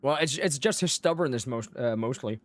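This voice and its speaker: A man's voice: medium pitch, animated, a little fast. A man live streaming a video game, chatting casually with viewers and commenting on the story. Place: close dry mic, game music behind.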